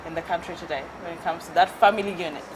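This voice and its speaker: A woman's voice: fairly low pitch, very fast, background noise. Playful Kenyan woman talk show host. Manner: playful, lively, mixing in Swahili phrases. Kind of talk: casual interview chat.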